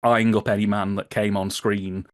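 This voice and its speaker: A man's voice: fairly low pitch, little pitch movement, moderate pace, dry recording, studio quality. A British man speaking as a podcast guest, explaining things in an enthusiastic, chatty way with colourful colloquial phrasing. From United Kingdom. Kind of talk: enthusiastic explanatory casual chat.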